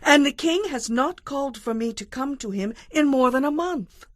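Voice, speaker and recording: A woman's voice: high-pitched, lively intonation, moderate pace, no room sound, clean studio sound. An actress playing a brave, solemn young queen in a dramatized audio Bible, speaking in an earnest, formal way. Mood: anxious.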